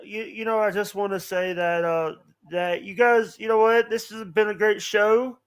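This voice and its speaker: A man with a notably high voice talks casually as a podcast guest. He is halting and repetitive, often breaking in and restarting his phrases. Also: steady tone, smooth. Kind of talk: casual livestream chat.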